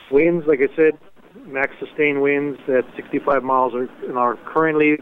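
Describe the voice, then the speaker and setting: A man speaking as a civil defense official, giving a measured, hesitant emergency briefing over a telephone conference line. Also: mid-range voice, slight background noise. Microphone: telephone conference call. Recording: narrow, tinny phone conference line.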